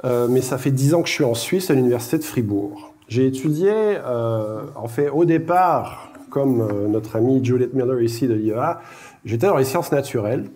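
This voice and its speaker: A man's voice: mid-range voice, expressive, normal speed, mellow. A middle-aged man with a Québécois accent, giving a casual, self-deprecating public lecture with many fillers. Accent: Québécois French. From Quebec, Canada. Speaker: guest lecturer.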